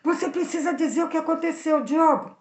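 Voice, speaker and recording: A woman storyteller voicing a stern, angry father who loudly demands answers from his young son. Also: high-pitched, fairly quick, pitched above the performer's own voice, lightly acted. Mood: angry, demanding. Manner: loud, forceful interrogating. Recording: echoey room, slightly dull sound.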